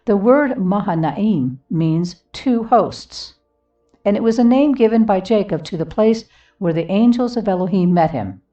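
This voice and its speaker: A woman reading a devotional book aloud expressively, in a solemn, earnest manner with deliberate phrasing. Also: medium pitch, expressive, warm tone, distant mic, highs cut off. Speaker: audiobook reader. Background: music.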